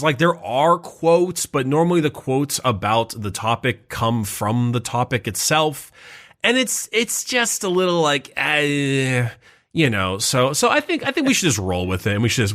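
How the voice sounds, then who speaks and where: A man's voice: medium pitch, a bit bright. A man co-hosting a casual podcast, slightly nasal as he recovers from a cold, rambling with many restarts. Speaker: podcast co-host.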